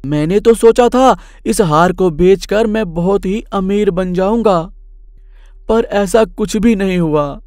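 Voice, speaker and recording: Guy doing whiny sorry servant man for a cartoon story, high pitched, pleading and super deferential. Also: takes it slowly, darkish tone, clear, resonant tone, dry recording, clean studio sound. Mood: ashamed, pleading. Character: whiny, ashamed, repentant male shop servant. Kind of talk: cartoon moral story dialogue.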